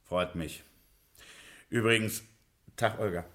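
An actor playing a smooth-talking Prohibition gangster boss in a 1950s radio crime play, persuasive and jovial. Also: male voice, lowish voice, steady tone, slow, fairly bright tone.

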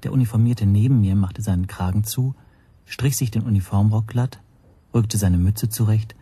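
An actor playing an anxious foreign language teacher who narrates his own story in a measured, introspective radio play style. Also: fairly low pitch, warm tone, breathy edge, no echo. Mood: uneasy, measured. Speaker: first-person narrator.

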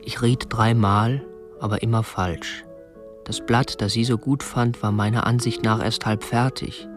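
Radio drama: man playing a young, respectable petty-bourgeois obsessive, meek and restrained, mid-pitched, confessing earnestly. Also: steady tone, measured pace, over music, close to an everyday voice. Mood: stiff, confessional. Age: young adult. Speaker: actor and first-person narrator in a radio play. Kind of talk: radio drama narration and dialogue.

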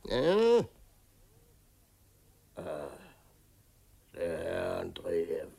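An actor playing a drunken, meek old coachman in a radio drama, slurred, halting and muddled. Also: deep voice, huge pitch swings, gravelly, warm tone, husky, heavily acted.

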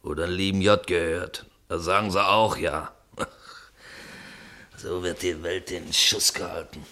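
Cynical station cop in a radio play, really deep voice, dry and muttery. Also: male voice, steady tone, unhurried, bright, forceful tone. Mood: wry, impatient. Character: wry, cynical duty policeman waiting at his station. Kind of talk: German radio play acting.

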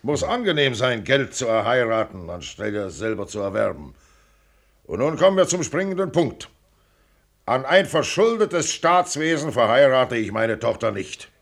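A man's voice: medium pitch, sing-song, moderate pace, creaky. An actor playing a blunt, shrewd older millionaire businessman in a radio play, with brusque, clipped, commanding delivery. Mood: blunt, businesslike. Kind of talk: radio drama acting.

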